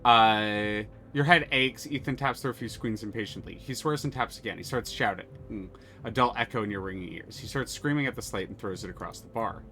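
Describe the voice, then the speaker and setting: Casual bright-voiced man reading game text. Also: fairly low pitch, brisk, a little hiss or hum. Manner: casual, swears freely. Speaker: streamer.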